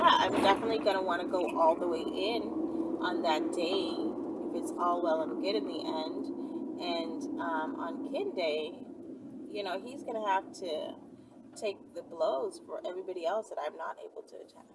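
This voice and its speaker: A woman talking in a casual, chatty monologue, sassy and emphatic, with frequent little check-ins as she answers questions. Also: lowish voice. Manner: sassy, emphatic, often adds "okay?" and "you know". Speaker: video host answering viewer questions. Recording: noisy, distant mic, vehicle-like ambience.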